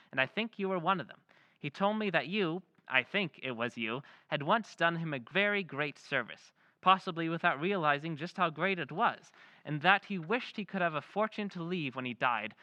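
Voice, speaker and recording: An actor voicing a genteel letter-writer reading his letter aloud in an old-time radio drama, measured and confiding. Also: fairly high pitch, lively intonation, bright, forceful tone, no echo, highs cut off.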